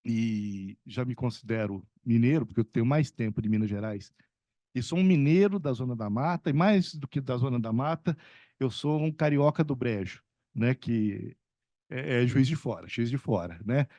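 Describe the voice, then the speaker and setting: Middle-aged man from são paulo, economics professor on a panel, rambles with lots of fillers, warm mellow tone, dry sound. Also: medium pitch, moderate pace, no room sound, highs cut off. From São Paulo state, Brazil. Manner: rambling, many fillers and restarts, chuckles. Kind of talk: conversational talk at a panel.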